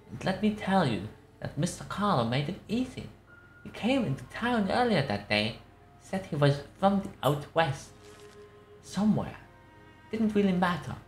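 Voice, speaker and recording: Woman doing an old matriarch reminiscing, folksy and dramatic storyteller, echoey room, bit of music under. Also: low voice. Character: elderly matriarch recounting a tragic night, wistful storyteller. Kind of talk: dramatic reading of a game newspaper. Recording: roomy echo.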